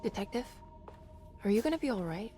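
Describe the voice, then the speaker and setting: A woman's voice: medium pitch, lively intonation, fairly quick, mellow, soft background music, natural voice. An actress playing an earnest, worried and exasperated woman in a video game drama. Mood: concerned. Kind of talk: video game cutscene acting.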